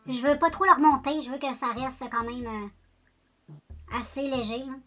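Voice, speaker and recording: A woman's voice: high voice, measured pace, a bit bright. A woman with a Quebec French accent chatting casually through a makeup tutorial, rambling and joking at her own expense while speaking to her viewers. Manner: chatty, rambling, self-deprecating, talks directly to viewers. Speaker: beauty vlogger.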